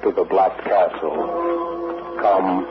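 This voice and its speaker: Solemn haunted-castle guide, low, slow, monotone, vintage horror radio narration. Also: male voice. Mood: solemn, ominous. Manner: hushed, solemn, deliberate. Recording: tinny, echoey 1940s radio with music.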